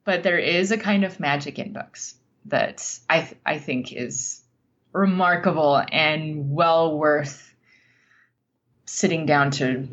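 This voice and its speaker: An American woman from Utah speaking as an interview guest in a reflective, thoughtful way, often halting to search for words. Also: low-pitched, a bit bright, lo-fi sound. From Utah, United States. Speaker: podcast guest, nonfiction writer.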